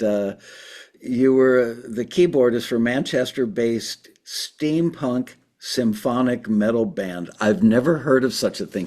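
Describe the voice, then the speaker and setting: A man hosting a casual interview over a video call. He is friendly, laughs easily, and fills his pauses with ums and uhs, in a voice of ordinary middle pitch. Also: darkish tone. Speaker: interview host, music company head.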